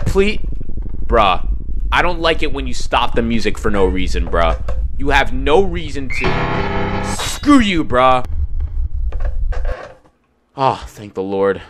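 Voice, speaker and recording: Young dude yelling bro at a horror game, headset mic, music playing loud behind. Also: male voice, medium pitch, slow, pauses often. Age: young adult. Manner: slangy, excitable, jokey, shouts when scared. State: losing his voice. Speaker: gaming YouTuber. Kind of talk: reactive horror game commentary. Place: dark room at home.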